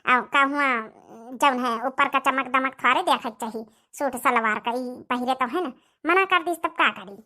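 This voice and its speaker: A woman's voice: high voice, unhurried, pushed and bright. A woman voicing a chatty, insistent, scheming village mother with an Awadhi accent in a cartoon comedy. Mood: complaining. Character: scheming village mother pushing her favoured daughter. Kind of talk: rustic cartoon comedy acting.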